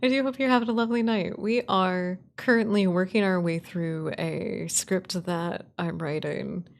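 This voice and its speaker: A woman's voice: on the low side, fairly even intonation, moderate pace. A woman streaming casually as she works, talking in a playful, self-deprecating way. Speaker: streamer, writer and voice actor. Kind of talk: casual livestream chat while working.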